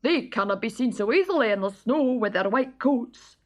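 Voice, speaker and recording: A woman's voice: on the high side, expressive, moderate pace. A woman doing an amused wild pony. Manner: amused, explaining.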